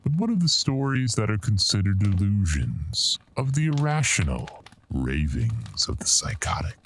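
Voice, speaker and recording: An actor playing a sinister, all-knowing otherworldly storyteller in a horror radio drama, theatrical, deliberate and solemn. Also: male voice, lowish voice, very animated, slight background noise. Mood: grave, foreboding. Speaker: anthology narrator.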